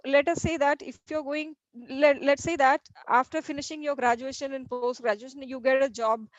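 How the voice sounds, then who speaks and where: A woman's voice: high-pitched, no echo. A middle-aged woman teacher with an Indian English accent, native Hindi speaker, lecturing a class and often restarting and repeating her phrases. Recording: dull lo-fi dry recording.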